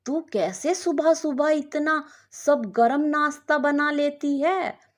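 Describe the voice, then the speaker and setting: A woman's voice: sits high, takes it slowly, voice pushed up. A woman storyteller voicing a nosy, scornful working woman who exclaims and needles with pity. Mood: amazed, complaining.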